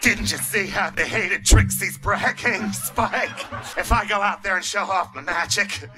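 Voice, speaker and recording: A man's voice: sits high, unhurried. A man voicing an earnest, modest young female unicorn who pleads and refuses, in a live fan reading. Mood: reluctant. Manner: reluctant, pleading. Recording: show music playing underneath.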